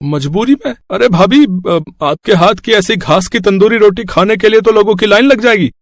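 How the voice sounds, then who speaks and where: A man's voice: sits high, moderate pace, dry recording, studio quality. A man voicing a helpful street-vendor family friend in a moral story, talking in a chatty, respectful way. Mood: impressed.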